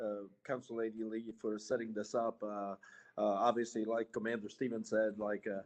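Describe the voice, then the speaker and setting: Soft-spoken man from Bosnia, flat delivery. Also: lowish voice. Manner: halting, many fillers. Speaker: police sergeant. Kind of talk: community meeting remarks.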